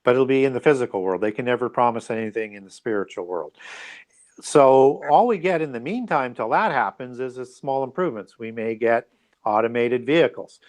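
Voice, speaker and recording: A man giving explanatory answers as an interview guest, speaking conversationally and haltingly with frequent check-in tags. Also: mid-range voice. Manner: halting, explanatory, often adds "right?" and "you know".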